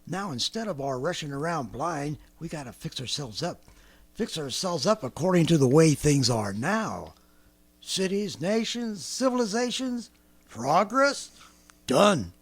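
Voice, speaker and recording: A man's voice: fairly high pitch, big pitch swings, measured pace, breathy edge, heavily acted. An actor in 1930s radio drama style playing a fanatical survivalist artilleryman with an American accent, ranting colloquially and swinging from brooding to frenzied. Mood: grim, defiant. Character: fanatical survivalist artilleryman, swept up in grandiose schemes.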